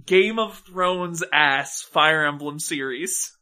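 A man with a notably high, bright, forceful voice talks casually as a podcast co-host. He is blunt and emphatic, swearing freely as he gives opinions on film. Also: takes it slowly.

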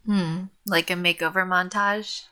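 A teen little sister in an audio drama, bright clear-cut voice, sweet, a bit dramatic. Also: female voice, lowish voice, steady tone, measured pace. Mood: wry. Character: sweet, sincere, slightly dramatic younger teenage sister. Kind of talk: audio drama acting, naturalistic dialogue.

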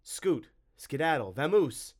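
Man playing a brisk, cheeky storybook hero. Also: on the low side, very animated. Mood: cocky. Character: plucky young male hero, cheeky, quick-thinking joker.

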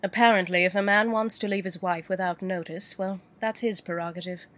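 An actress playing a wealthy businesswoman client in an audio drama, cool and measured. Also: mid-range voice, fairly even intonation, fairly bright tone, lightly acted. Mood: composed. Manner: cool, measured, dryly composed.

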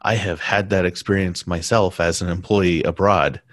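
A man talking casually in a conversation, pausing and restarting his sentences often. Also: low voice, steady tone, no echo. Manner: halting, many restarts and fillers.

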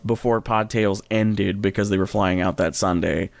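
A man's voice: on the low side, steady tone, normal speed, gravelly, no echo. Dry-sounding studio-close American man, casual and wry. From US Midwest. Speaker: podcast host. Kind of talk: casual chat.

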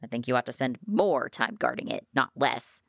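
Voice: medium pitch, animated, moderate pace, gravelly, fairly bright tone, dry recording, clean studio sound. Woman doing a stern, scornful military man. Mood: stern lecturing. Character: stern, contemptuous male military superior.

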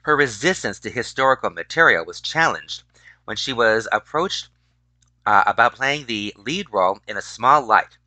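A man's voice: mid-range voice, lively intonation, moderate pace, sharp tone, dry recording, poor audio. A man reading a feature article aloud, often stumbling and restarting words. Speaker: reader of a news article.

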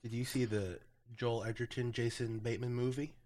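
A man's voice: medium pitch, fairly even intonation, a little fast, darkish tone. A man co-hosting a podcast while sick, his voice a little off, rambling in casual chat. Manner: rambling, repeats words as he talks. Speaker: podcast co-host.

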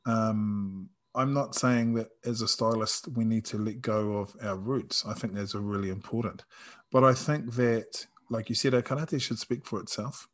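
A man from New Zealand with a New Zealand accent and a fairly low voice. He talks casually and reflectively, feeling his way through sentences and often restarting to reword a thought. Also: steady tone, moderate pace. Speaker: karate practitioner in discussion. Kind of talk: casual conversation.

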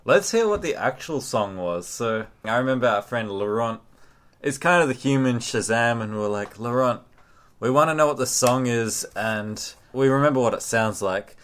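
A man's voice: medium pitch, expressive, normal speed, a bit bright. A man chatting casually and jokily as a podcast co-host. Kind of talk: casual comedic chat. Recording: music playing over the talk. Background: music.

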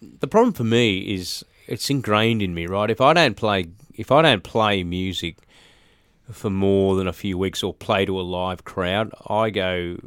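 An Australian man in his forties, a musician chatting as an interview guest, halting with fillers and restarts. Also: low-pitched, a bit bright, clean tone, no room sound, clean studio sound. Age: 40s. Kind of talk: casual interview talk.

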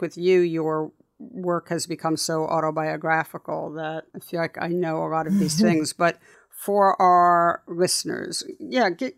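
A man's voice: a little high, fairly bright tone, smooth, no room sound. Chatty man hosting a podcast, dry sound. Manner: chatty, with frequent restarts and fillers. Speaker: podcast host. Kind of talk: casual interview chat.

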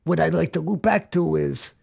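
Gravelly, husky male interviewer. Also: a little high, moderate pace, a little mellow. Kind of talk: interview questions.

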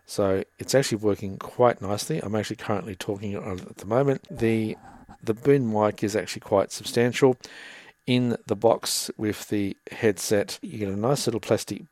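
Low-voiced man demoing podcast gear. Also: moderate pace, darkish tone, no room sound. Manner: plain, methodical, explaining step by step. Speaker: gear reviewer. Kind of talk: casual product demo. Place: room with air conditioner running. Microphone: headset boom mic, then desktop dynamic mic.

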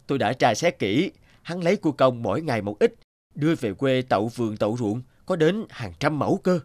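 A man's voice: medium pitch, lively intonation, moderate pace, darkish tone, no echo, close to an everyday voice. A man voicing a chivalrous thief in a folk tale cartoon, earnest, plain-spoken and decisive. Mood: firm, cautious. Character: chivalrous male thief, principled, bold and protective.

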